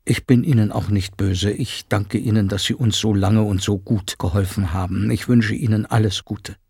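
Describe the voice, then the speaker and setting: A man's voice: lowish voice, steady tone, normal speed, vocal fry, mellow, slightly rough, dry recording, studio quality, exaggerated voice. A man reading aloud, voicing a hurt but dignified woman speaking with restraint. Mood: restrained. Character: composed, hurt mother, dignified.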